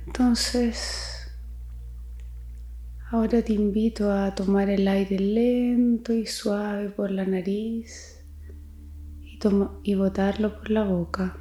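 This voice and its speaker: Slow, mellow woman guiding a meditation. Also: mid-range voice, level tone, roomy sound. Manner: soothing, gentle, soft-spoken with long pauses. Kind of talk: guided meditation. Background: music.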